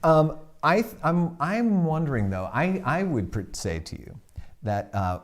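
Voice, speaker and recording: A middle-aged man co-hosting a casual talk show, speaking haltingly and telling anecdotes. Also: a little high, expressive. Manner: halting, hesitant, retells anecdotes. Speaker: co-host. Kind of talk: casual chat.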